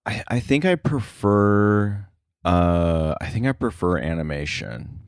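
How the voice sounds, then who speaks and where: A man's voice: fairly low pitch, expressive, takes it slowly, clean tone, no room sound, studio quality. A man speaking casually as a podcast guest, a sound effects editor with a self-deprecating, chuckling way of talking. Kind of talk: casual interview conversation.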